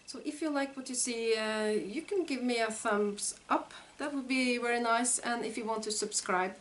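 A woman's voice: fairly high pitch, moderate pace, a little hiss or hum. A woman in her forties with a Norwegian accent, a knitting vlogger talking casually to the camera at home, hesitant and often searching for words. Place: living room at home.

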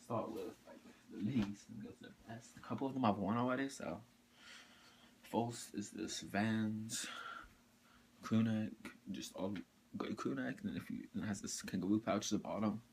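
A teenage boy casually showing off his mall clothing and sneaker haul in his bedroom, talking in a halting, rambling way with lots of fillers and restarts. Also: lowish voice, darkish tone, slight background noise. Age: teens. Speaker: sneaker vlogger. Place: bedroom, indoors. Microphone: camera or phone mic up close.

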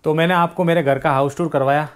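A man's voice: on the high side, steady tone, quick pace, smooth, faint music behind. A man in his thirties from India, chatting casually as he guides viewers through his apartment on a handheld phone. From India, raised in Rajasthan. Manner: chatty, guiding viewers room by room. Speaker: vlogger. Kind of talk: casual house tour vlog. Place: apartment, indoors.

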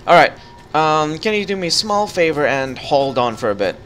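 A man's voice: on the high side, normal speed. A young man hosting a casual co-op gaming session, talking in a loose, easygoing way. He hands out directions between small fillers and hesitations. A faint hiss of background noise sits under his voice. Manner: relaxed, guiding with fillers. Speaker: gaming channel host. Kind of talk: casual co-op gameplay chat.

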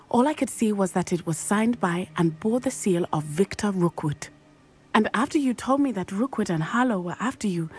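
A young woman voicing a brave, determined schoolgirl witch in a video game, speaking in a formal, measured way and often dropping to a hush. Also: medium pitch, slightly rough. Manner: measured, formal, confident speech, often hushed. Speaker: game character.

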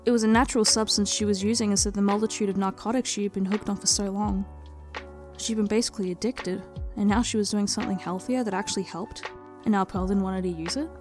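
A woman's voice: medium pitch, fairly even intonation, quick pace, a little mellow. A woman narrating her own written story as a dramatic reading, in the manner of a storyteller. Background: background music.

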